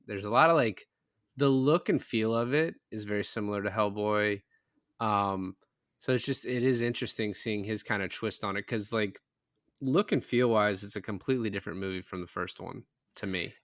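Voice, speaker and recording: Male co-host, lowish bright voice, close dry sound, casual film talk. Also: moderate pace, no room sound. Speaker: podcast co-host.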